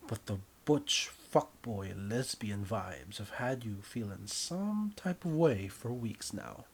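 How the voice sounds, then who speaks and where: A man narrating a scripted story in the second person, deliberate and often dropping softer at the ends of phrases. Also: on the low side, husky. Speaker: writer and narrator.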